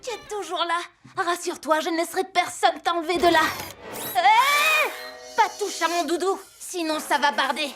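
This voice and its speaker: A bold little kid superhero with a very high, energetic voice. The delivery is urgent and emphatic, cartoon style, with loud music underneath. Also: female voice, moderate pace. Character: bold, energetic young child superhero leading the team. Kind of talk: cartoon dub acting.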